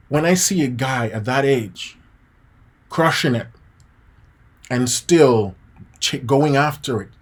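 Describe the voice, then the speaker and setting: A man from Jamaica in his thirties, a piano teacher live-streaming a motivational lesson, talking casually and repeating his points. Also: mid-range voice. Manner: casual and preachy, addresses viewers as guys and man, repeats key points.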